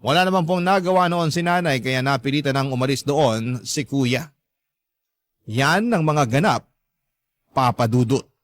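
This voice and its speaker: A man's voice: medium pitch, natural voice. A young man playing an earnest letter sender, narrating family troubles in a polite, confiding radio drama manner. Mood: earnest, saddened. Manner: polite, confiding, measured storytelling.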